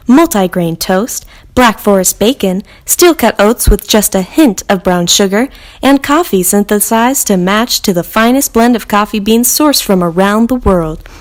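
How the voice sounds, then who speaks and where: A woman's voice: medium pitch, close to an everyday voice. Woman as a cheerful, courteous spaceship computer. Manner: bright, courteous, announcement-like. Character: cheerful polite spaceship computer, chillingly unbothered. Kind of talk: scripted sci-fi character monologue.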